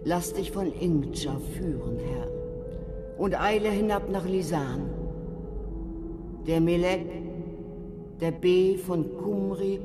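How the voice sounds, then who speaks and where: An ancient wise woman holds forth as a mysterious cave spirit in a radio play. She speaks very slowly, solemn and commanding, with music behind her. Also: on the low side. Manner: slow, solemn. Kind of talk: radio drama dialogue.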